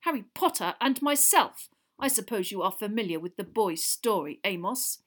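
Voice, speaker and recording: A woman's voice: mid-range voice, lively intonation, normal speed, close to an everyday voice. A woman voicing a stern senior male wizard official, formal and coldly indignant, in a storybook reading. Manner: clipped, formal, cold anger.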